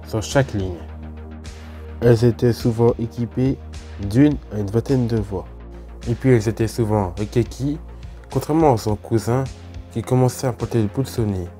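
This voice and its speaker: A man from France talks viewers through a history topic in a relaxed, explanatory way. His voice is deep-toned and very mellow, at an ordinary pitch, and he breaks off now and then for chatty asides. Faint music plays underneath. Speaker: host of a railway history channel. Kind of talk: casual explanatory narration.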